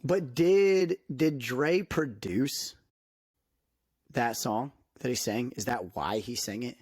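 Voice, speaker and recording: A man's voice: mid-range voice, slow, darkish tone, dry recording, studio quality. A young man hosting a casual podcast chat, rambling with lots of fillers and restarts. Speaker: podcast host.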